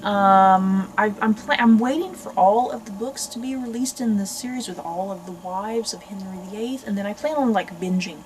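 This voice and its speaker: American woman, book vlog host, casual and expressive, reading blurbs aloud, medium pitch, faint background noise. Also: normal speed, hardly any pauses, poor audio. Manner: chatty, expressive asides to viewers. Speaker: book review channel host.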